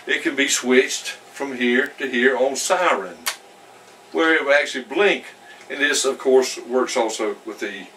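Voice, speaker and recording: A man giving a casual hands-on product demonstration in a folksy, rambling, halting way. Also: mid-range voice, sharp tone. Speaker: product reviewer. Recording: distant mic with faint background noise.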